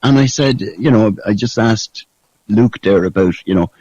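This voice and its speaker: Male, low voice, casual sweary storyteller with a conspiratorial bent, dry and muffled call sound. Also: moderate pace, mellow, no echo. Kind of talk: casual chat. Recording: dull lo-fi call audio.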